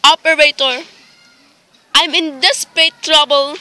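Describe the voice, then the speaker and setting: A woman's voice: high-pitched, fairly even intonation, measured pace, pushed and bright. A woman playing a nervous, demanding invalid wife in a dramatic radio play. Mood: terrified. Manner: imperious, dramatic, fretful.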